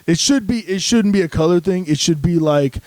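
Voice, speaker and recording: A man's voice: high-pitched, expressive, a little fast, a little mellow, dry recording. Crude joking man, dry close sound. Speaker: podcast co-host. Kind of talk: casual comedic chat.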